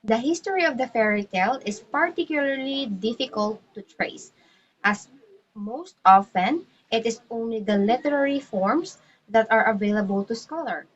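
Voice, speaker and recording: A woman's voice: on the high side, moderate pace, lo-fi sound. A woman reading a scripted educational narration aloud in a formal manner. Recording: noticeable background noise.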